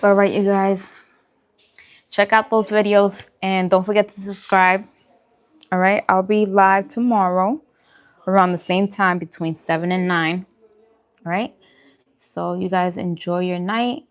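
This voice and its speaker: A woman's voice: medium pitch, steady tone, a little slow, pauses often, smooth. A woman chatting informally with her live-stream viewers, answering comments as she goes. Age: adult, parent. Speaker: food vlogger and live streamer.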